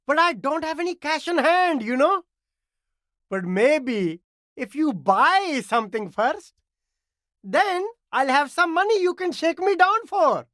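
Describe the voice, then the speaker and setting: An actor voicing a cheerful, slick and eager immigrant street vendor in a video game, with flattering, laid-back sales patter. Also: high-pitched, measured pace, no echo, clean studio sound. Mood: sly, ingratiating. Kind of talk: video game character dialogue.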